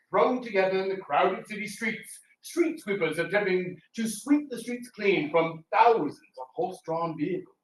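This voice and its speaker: A man who works as an actor, co-presenting a scripted talk in a dramatic, declamatory manner. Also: sits high. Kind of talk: theatrical scripted lecture. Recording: roomy, distant mic, faint noise.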